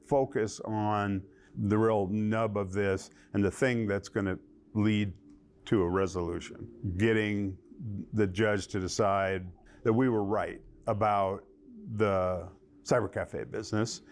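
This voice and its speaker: Gravelly low-voiced lawyer recounting a case. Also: male voice, fairly even intonation. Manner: measured storytelling, acting out quoted dialogue. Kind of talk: documentary interview recollection.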